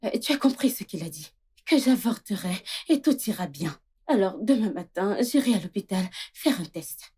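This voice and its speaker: Woman acting an anxious wife, soft and husky. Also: medium pitch, lively intonation, normal speed. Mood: soothing, coaxing. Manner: coaxing, pleading. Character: anxious, soothing young wife.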